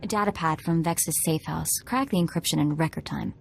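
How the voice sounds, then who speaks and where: A spunky young tech expert chats and teases in a quick, lively way. Her voice sits a little low for a woman. Faint game music runs underneath. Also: gravelly. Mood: perky. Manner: quick, chatty, teasing. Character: young female tech slicer, eager and spunky. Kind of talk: video game dialogue.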